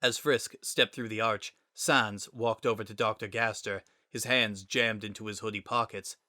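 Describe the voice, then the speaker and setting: A man's voice: fairly low pitch, steady tone, moderate pace, bright, forceful tone, no echo, studio quality. A man narrating a story as a dramatic scripted reading.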